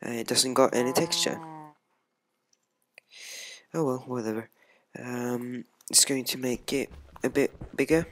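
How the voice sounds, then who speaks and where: A man's voice: medium pitch, steady tone, unhurried, long pauses, a bit bright. A young man from Sweden with a Swedish accent, rambling hesitantly through casual let's play commentary with many ums. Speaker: gamer. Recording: music playing behind. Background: music.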